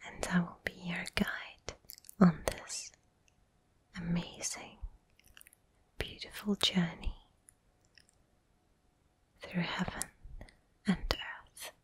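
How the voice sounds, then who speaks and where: Very slow whispering woman, ASMR guide. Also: fairly low pitch, flat delivery, husky, no echo. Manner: soft whispering, soothing, unhurried with long pauses. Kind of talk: whispered ASMR guided visualization for relaxation and sleep.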